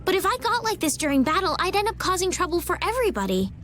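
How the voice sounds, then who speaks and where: An actress playing a polite, gentle, somewhat anxious young noblewoman in a video game. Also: very high voice, sing-song, fast, faint music behind, full character voice. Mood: nervous.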